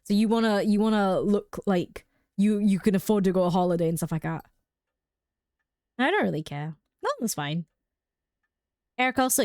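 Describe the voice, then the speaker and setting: A woman's voice: mid-range voice, warm tone, no echo, clean studio sound. A young woman streaming a game at home, chatting casually with viewers, laughing often and filling her talk with "like". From United Kingdom. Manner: chatty and giggly, many "like" fillers.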